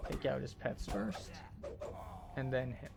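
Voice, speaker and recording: A man's voice: mid-range voice, fairly even intonation, slow, gravelly, music in the background. A man giving walkthrough commentary on a video game as a tour guide.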